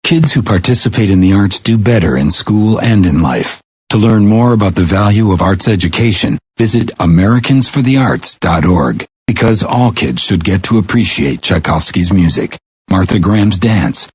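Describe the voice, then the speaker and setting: Very husky, low male announcer. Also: lively intonation, moderate pace, a little mellow, no echo. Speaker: public service announcer. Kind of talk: scripted announcement.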